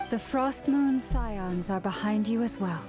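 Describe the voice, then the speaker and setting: An actress playing a composed leader of an order in a video game, speaking formally and with measured care. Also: female voice, medium pitch, over loud music, lightly acted. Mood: composed, serious.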